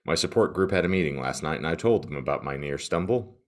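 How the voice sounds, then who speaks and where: Male podcast host, very low voice, expressive swings, mock-serious comedic reading with deadpan drama. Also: fast talker.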